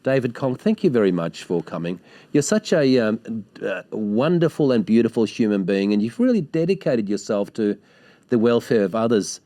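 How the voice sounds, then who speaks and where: Guy hosting a tv chat show, gushing and praising, lots of ums, soft mellow voice. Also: lowish voice, sing-song, normal speed. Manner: effusive, praising, with many hesitations. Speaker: TV host. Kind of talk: TV interview.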